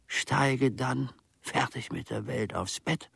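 Casting: brooding, aging manservant, radio drama; dry close sound, gravelly voice, ornate self-dramatising delivery. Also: male voice, mid-range voice, slow pace, a bit bright, dry recording, full character voice. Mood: wry, wretched. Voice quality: gravelly, husky. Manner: rambling, archaic, self-dramatising. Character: bitter, aging manservant brooding over his wretched life. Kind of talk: theatrical radio drama monologue.

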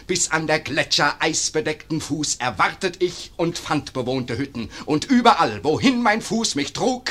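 An actor playing a male confederate messenger in a classic German radio play, reciting verse in a measured way. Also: a little high, pushed and bright, exaggerated voice.

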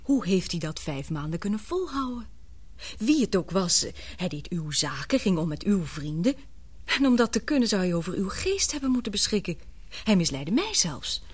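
A woman playing an emotional young English daughter and fiancée in a radio drama, earnest and expressive, with a breathy voice. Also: mid-range voice, moderate pace, no room sound. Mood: bewildered, protesting.

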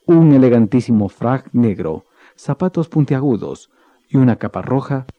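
A man narrating a legend in a radio drama with measured storytelling. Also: medium pitch, lively intonation, no room sound. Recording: dull lo-fi recording with faint music.

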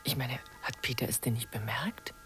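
An actress playing a yearning, flirtatious portrait sitter in a radio play, intimate and expressive. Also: female voice, very deep voice, normal speed, faint music behind. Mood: yearning, coaxing. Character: yearning, flirtatious female portrait sitter, awed by her painter. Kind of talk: German radio play acting.

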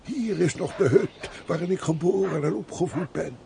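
A pious old peasant in a radio play. The voice is fairly high for a man and gravelly with age. He takes his time, emotive and humble, over a faintly noisy recording. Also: animated, mellow. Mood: tender contentment. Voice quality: creaky, aged voice. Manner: slow, emotive, full of sighs and exclamations. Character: pious, grateful, frail old peasant father of about seventy. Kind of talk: theatrical Dutch radio play acting.

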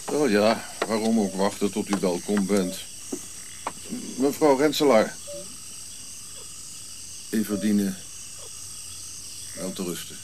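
Grumpy, low-voiced old prince. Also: male voice, takes it slowly, pauses often. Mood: gruff. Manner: curt, irritable, dry irony. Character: grumpy, sardonic old prince looking back on life. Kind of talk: radio drama acting. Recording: clear background hiss and insect-like night noise.

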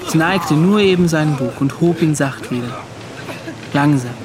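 An actor in a radio play voicing a bitter, shaken young seaman, giving his account in halting, broken phrases. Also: fairly high pitch, crowd noise, close to an everyday voice. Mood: bitter, brooding. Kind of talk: radio play acting, first-person account.

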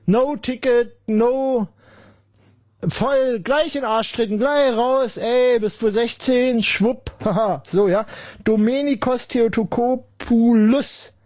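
A man hosting a late-night radio call-in show, sarcastic and rambling, with sudden bursts of mock shouting. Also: very high-pitched, slow, no room sound, clean studio sound. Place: radio studio. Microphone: studio microphone.

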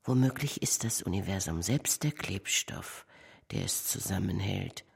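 A woman performing a contemplative narrator in a poetic radio play, with a measured, solemn delivery. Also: very deep voice, expressive, vocal fry, breathy edge, full character voice. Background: music.

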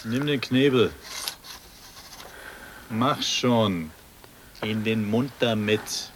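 An actor playing a gruff military man giving curt, commanding orders in a German radio drama. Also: lowish voice, very slow. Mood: curt, impatient. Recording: very noisy, mechanical rasping sounds behind.

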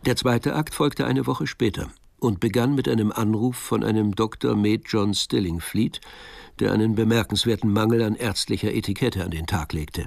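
A man narrating a German radio crime drama in a measured, literary storytelling manner. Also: fairly low pitch, gravelly, darkish tone, no echo, studio quality.

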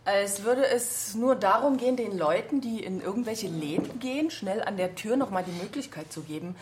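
An earnest politician played by a woman with a mid-pitched voice. She argues plainly and reasonably, as in a parliamentary debate in a radio play, with faint noise. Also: animated, moderate pace, close to an everyday voice. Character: earnest female politician pleading for addicts.

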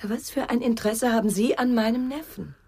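A woman's voice: fairly high pitch, brisk, darkish tone, husky, dry recording, clean studio sound. An actress playing a wary, worried, blunt woman in a radio crime drama, asking curt, probing questions. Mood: suspicious.

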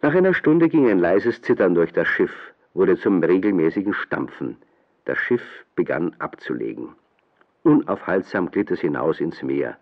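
A man's voice: deep voice, phone-quality sound. A man narrating a scripted German children's radio play as storyteller. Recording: thin, band-limited old radio play.

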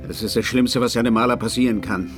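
An actor playing a drunken, self-pitying old painter in a German radio drama, speaking in a theatrical, declamatory way. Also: male voice, medium pitch, quick pace, music in the background, natural voice. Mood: bitter, self-pitying. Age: older. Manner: theatrical, declamatory, emotionally volatile.

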